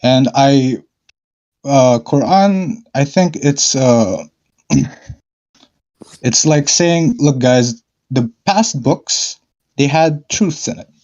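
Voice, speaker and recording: A man calling into an online discussion, speaking hesitantly with many fillers and frequent throat clearing. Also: medium pitch, lively intonation, drawn-out words, long pauses, darkish tone, muffled recording. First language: not Arabic. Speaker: caller. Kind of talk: casual debate call-in.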